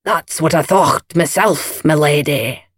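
Woman as a stern, slow Scottish gardener. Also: sits low, no room sound, clean studio sound. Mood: menacing deference. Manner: slow, firm, noncommittal, quietly menacing. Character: stern dour Scottish head gardener, a man, stubborn. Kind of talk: audiobook character voice.

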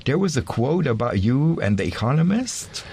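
A man's voice: medium pitch, sing-song, moderate pace, darkish tone, slight background noise. A man interviewing a guest on college radio, prompting him with encouraging asides and short affirmations.

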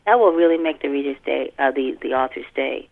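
An older woman, a guest author chatting casually in a podcast interview, animated and self-deprecating, repeating words for emphasis. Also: extremely low pitch, a little fast, gravelly. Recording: thin band-limited phone line.

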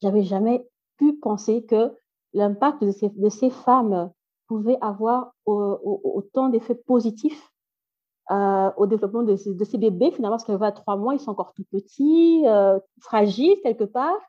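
A woman in her late thirties, a podcast guest answering in a halting way with many fillers. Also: mid-range voice, warm tone, dull audio. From Belgium. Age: 30s. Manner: halting, frequent fillers and self-corrections. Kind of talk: interview answers.

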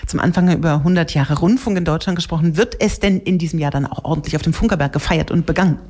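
A woman's voice: deep voice, fast talker, a little mellow. A woman hosting a live radio show in a studio, chatty and informal with a teasing streak. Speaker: radio host.

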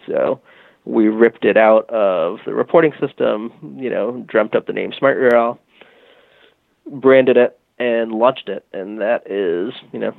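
A low, gravelly man's voice comes through a thin, phone-like line, the sound dry and close. He tells a long story loosely, padding it with "you know" and "um" as he goes. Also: no room sound. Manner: rambling, many fillers and "you know". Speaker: guest, tech company executive. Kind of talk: casual storytelling interview.

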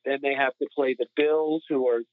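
A man's voice: mid-range voice, steady tone, normal speed, no room sound. A man giving blunt, critical opinions in casual sports talk, heard over a tinny, narrow line. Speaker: podcast panelist.

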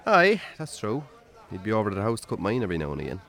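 An actor with an Irish accent playing a weary, lonely farmer in a naturalistic radio drama, plain-spoken and wry. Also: male voice, fairly low pitch, wildly swooping pitch, rapid-fire, gravelly, a bit bright, exaggerated voice. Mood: sombre, matter-of-fact.

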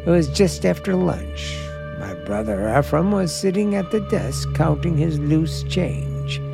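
Sinister, obsessed hotel keeper for old radio suspense: brooding, swooping delivery, gravelly edge. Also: male voice, fairly high pitch, animated. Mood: grim recounting. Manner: slow, brooding, sinister, deliberate. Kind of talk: 1940s radio suspense acting. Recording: old radio drama with music behind.

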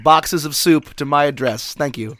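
A man's voice: fairly high pitch, moderate pace, background noise. A man co-hosting a comedy podcast while stuffy with a cold. He jokes around with playful, mock-hyped banter. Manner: joking, mock-hype banter. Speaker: podcast co-host. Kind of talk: casual comedic chat.

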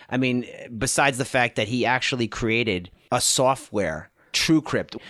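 A man hosting a casual, foul-mouthed podcast chat. His voice is mid-pitched and dry, with a joking, disbelieving edge. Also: a bit bright, dry recording. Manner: blunt, joking, incredulous. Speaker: podcast host. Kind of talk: casual profane interview chat.

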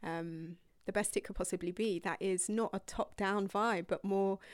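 A young woman yoga teacher telling her own story to viewers in a rambling, candid, casual way, dropping to breathy whispered asides now and then. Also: medium pitch, fairly even intonation, moderate pace, no room sound, clean studio sound.

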